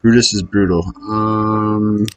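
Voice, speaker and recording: A man's voice: fairly low pitch, fairly even intonation, slow, slight background noise. A man muttering his way through casual think-aloud commentary as he plays online poker, hesitant and questioning himself. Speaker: online poker player recording own session.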